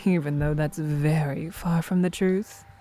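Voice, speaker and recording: A woman playing a commanding, tender yet scheming goddess queen in a dramatic audio drama, speaking in a measured, persuasive way. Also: deep voice, steady tone, mellow, a little hiss or hum. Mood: coolly reassuring.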